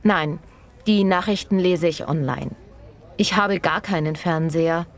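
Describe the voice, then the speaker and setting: A woman's voice: lowish voice, measured pace, close to an everyday voice. A woman playing a practical working passer-by, giving matter-of-fact answers in a scripted language-lesson dialogue. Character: practical working woman stopped for a street survey.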